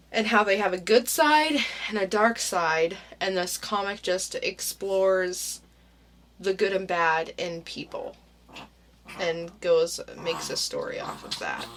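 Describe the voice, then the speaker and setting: An easygoing woman co-hosts a casual podcast and muses through her guesses. She speaks slowly. There is a faint hiss behind her. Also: fairly low pitch, fairly bright tone. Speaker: podcast co-host.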